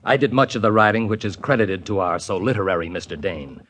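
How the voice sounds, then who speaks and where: A man's voice: on the low side, animated, fast, fairly bright tone, a little hiss or hum. An actor playing a bitter, mocking male ghost writer in a 1950s radio drama, with a florid, sardonic delivery. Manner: florid, sardonic, prone to laughing fits.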